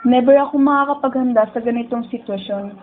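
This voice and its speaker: A woman's voice: on the high side, fairly quick, phone-quality sound, a little hiss or hum, lightly acted. A woman with a Filipino accent playing an emotional, pleading young woman who narrates and speaks in a tender, heartbroken radio drama. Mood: sorrowful, reflective. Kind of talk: dramatic first-person narration and dialogue.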